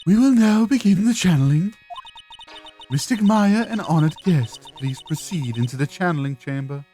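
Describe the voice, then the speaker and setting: A woman's voice: lowish voice, lively intonation, normal speed, dark tone, soft background music, lightly acted. A woman voicing a stern, haughty middle-aged spirit medium from a video game, prim and stately with archaic politeness. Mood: solemn.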